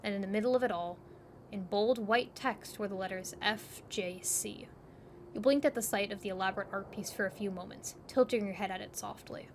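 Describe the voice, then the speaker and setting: Woman narrating a story, mid-pitched. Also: brisk, slight background noise. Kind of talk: scripted second-person story narration.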